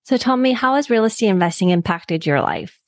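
A woman hosting a podcast interview, asking questions in a casual, upbeat way with quick affirmations. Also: medium pitch, expressive, no room sound, clean studio sound. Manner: upbeat, laughs easily, brief affirmations. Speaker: podcast host.

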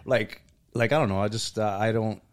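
A man's voice: fairly low pitch, fairly even intonation, moderate pace. A young man guesting on a podcast as a comedian, joking and laughing often in loose casual talk. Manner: joking, laughs a lot, mumbly fillers. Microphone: studio mic.

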